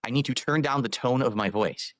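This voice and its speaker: A man's voice: mid-range voice, a little fast, a bit bright, no echo, studio quality. A man live-streaming, rambling while he fiddles with his microphone and audio settings.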